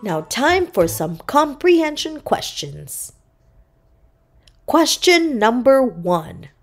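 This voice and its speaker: A woman hosting a children's read-aloud quiz, speaking in deliberate, separated phrases. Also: high voice, sing-song, faint music behind.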